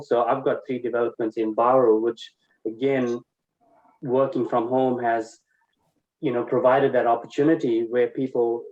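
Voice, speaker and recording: Flat-voiced man on a call. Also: mid-range voice. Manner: measured, halting with fillers. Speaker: property developer sharing takeaways. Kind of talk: casual video-call feedback. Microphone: video call. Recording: dull lo-fi call audio.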